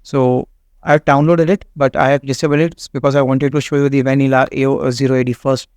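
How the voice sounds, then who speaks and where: A man, not a native English speaker, hosting a game walkthrough in a halting way with many fillers and restarts. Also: mid-range voice, flat delivery, darkish tone, smooth, no echo. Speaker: gaming channel host. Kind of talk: casual game walkthrough commentary.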